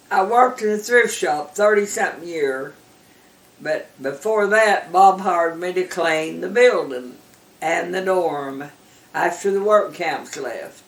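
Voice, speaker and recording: An older woman, recalling her years of work in a warm, plainspoken way. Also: lowish voice. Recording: echoey room, distant mic.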